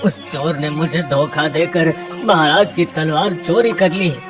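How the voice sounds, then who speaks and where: A man's voice: a little high, steady tone, measured pace, music in the background, natural voice. A man voicing a poor, humble village blacksmith in a cartoon, earnest and exclamatory. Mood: distressed.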